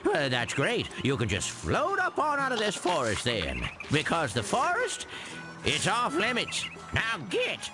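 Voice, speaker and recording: An actor playing a gruff, bossy old forest ranger in a cartoon video game. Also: male voice, sits high, wildly swooping pitch, measured pace, exaggerated voice. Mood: gruff, commanding. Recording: game audio with music behind.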